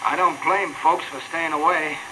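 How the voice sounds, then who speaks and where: An uneasy, wisecracking American beat cop played by a man in a 1930s radio play. His voice sits a little high, under the clear noise of an old broadcast recording. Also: steady tone, normal speed, lightly acted. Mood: uneasy, chatty. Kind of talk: 1930s radio drama acting.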